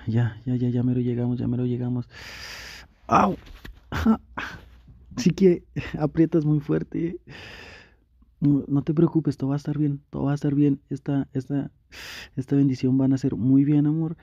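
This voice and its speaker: A man's voice: medium pitch, fairly even intonation, unhurried, pauses often, dark tone, no echo, muffled recording. A man playing a doting, anxious young boyfriend in an intimate ASMR roleplay, tender and reassuring, repeating his words insistently. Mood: panicked, soothing.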